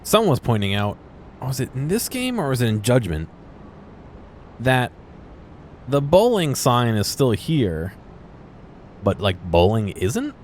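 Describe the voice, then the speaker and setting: A man's voice: medium pitch, wildly swooping pitch, a little slow, long pauses, slight background noise. A man giving casual, unscripted commentary while exploring a game, musing and thinking aloud as he goes. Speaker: gameplay video host.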